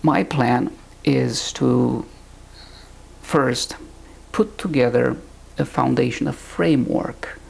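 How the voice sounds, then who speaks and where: Gravelly man teaching. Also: mid-range voice, a little mellow. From Romania. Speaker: Bible teacher. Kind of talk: recorded teaching talk to camera.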